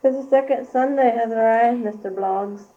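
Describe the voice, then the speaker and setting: A woman's voice: on the high side, normal speed, lightly acted. A young teenage girl playing a good-natured village millhand in an amateur radio play. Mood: earnest. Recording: dull lo-fi amateur recording.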